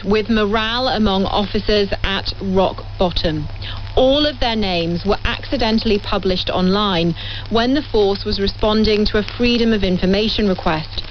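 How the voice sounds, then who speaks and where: A woman reporter narrates a scripted news piece in a mid-range voice. It comes through dull, narrowed radio audio with faint noise. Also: medium pitch. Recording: muffled, lightly noisy shortwave radio relay.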